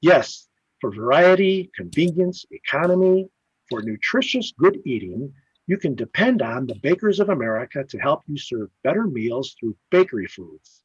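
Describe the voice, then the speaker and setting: A man's voice: fairly high pitch, sing-song, normal speed, breathy edge. A man announcing in 1950s radio style, emphatic and polished like a sponsor's salesman. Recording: muffled, roomy old-radio style sound.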